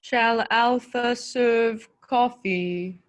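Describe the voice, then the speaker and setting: A woman's voice: fairly high pitch, drawn-out words. A woman playing an obedient male robot servant in a radio play, with a stiff, mechanical delivery. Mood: flat, obedient. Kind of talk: old radio play performance.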